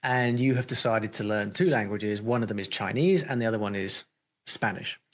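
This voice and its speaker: A man's voice: on the low side, moderate pace. A man hosting a podcast interview, thinking aloud with frequent restarts and fillers. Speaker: podcast host.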